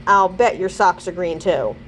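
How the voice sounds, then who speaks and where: A woman reading the lines of a bright, eager fifth-grade girl in a storybook, confident and excitable. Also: fairly low pitch, normal speed, a little hiss or hum, natural voice, low-quality recording. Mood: confident, playful.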